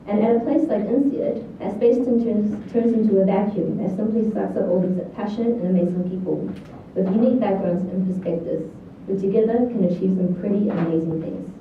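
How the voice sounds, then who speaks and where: A woman's voice: medium pitch, brisk, few pauses. A woman in her thirties with a New Zealand accent, giving a prepared graduation speech as a student valedictorian. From New Zealand, born in China. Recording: echoey hall, distant, thin lo-fi, faint noise.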